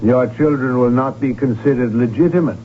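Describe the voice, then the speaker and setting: A man's voice: mid-range voice, a little fast, faint music behind, lightly acted. Casting: man as stiff court official for radio drama, pedantic and formal, mid-range, humming lo-fi sound. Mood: officious. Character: stiff, pedantic male imperial chamberlain. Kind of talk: 1970s radio drama acting. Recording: tinny, noisy old radio recording.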